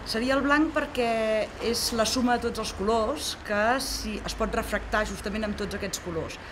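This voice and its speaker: A woman's voice: a little high, moderate pace, noisy background. A woman with a Catalan accent giving a reflective spoken answer as a language specialist. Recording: music playing under the voice.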